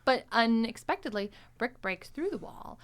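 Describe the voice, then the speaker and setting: A woman hosting a casual chat, telling stories in an animated way and quoting other people's lines. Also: fairly high pitch, normal speed. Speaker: podcast host and show editor.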